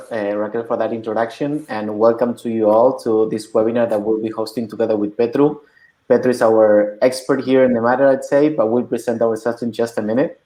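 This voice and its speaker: A man in his thirties from Argentina, a bootcamp instructor presenting a webinar in a relaxed way while setting up his slides. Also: medium pitch, roomy sound, poor audio. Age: 30s.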